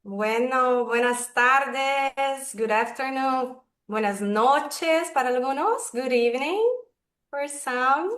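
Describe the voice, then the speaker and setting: Brasileña moderando con voz aguda. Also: female voice, high voice, a bit bright, roomy sound. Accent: Brazilian Portuguese. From Brazil. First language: Portuguese. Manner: measured, careful, self-correcting. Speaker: webinar moderator. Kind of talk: formal opening remarks. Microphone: video call.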